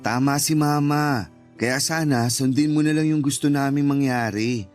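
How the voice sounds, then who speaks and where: A man's voice: medium pitch, normal speed, darkish tone, close to an everyday voice. A man playing an earnest, protective young husband and dutiful son in a radio drama. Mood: earnest, persuasive. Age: young adult. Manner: earnest, persuasive. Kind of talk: Tagalog radio drama acting. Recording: music bed under the voice.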